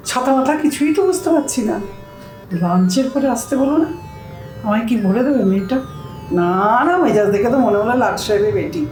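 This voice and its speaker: Actress as naive, grumbling bank customer, high animated voice, flustered stammer, roomy recording. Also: female voice, natural voice. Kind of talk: audio comedy drama acting. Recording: roomy echo, faint music.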